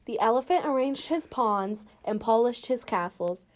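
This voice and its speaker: A woman's voice: on the high side, animated, normal speed. Woman reading a picture book aloud. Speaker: children's book reader. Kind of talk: storybook read-aloud for kids.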